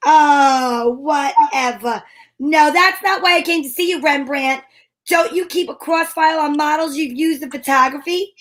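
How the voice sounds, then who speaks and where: Chatty, wisecracking gal, played by a woman, high voice with a put-on accent, old-time radio comedy. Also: steady tone, measured pace, a bit bright. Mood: wisecracking, breezy. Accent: put-on character accent. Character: Candy, chatty wisecracking woman, friend of a photographer.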